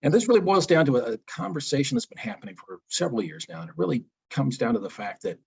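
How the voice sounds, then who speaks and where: A man's voice: mid-range voice, big pitch swings, fast talker, gravelly, a little mellow, breathy edge. A man giving a guest presentation in a business webinar, explaining steadily and asking rhetorical questions. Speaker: guest speaker, product lifecycle advisor.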